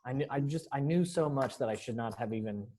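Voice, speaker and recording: A man's voice: medium pitch, brisk, warm tone, a little hiss or hum. Casual man running a video call. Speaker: rehearsal host running sound and screen share. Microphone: computer microphone on a video call.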